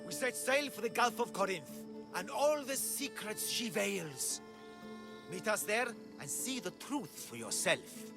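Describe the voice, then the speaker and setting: A grandiose man who believes he is a god in a video game. His voice is high for a man and very animated, rolling out pompous proclamations at a slow, theatrical pace. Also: creaky, heavily acted. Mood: fervent, indignant. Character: grandiose, deluded self-proclaimed male god and prophet. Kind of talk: video game cutscene acting. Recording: music playing behind the voice.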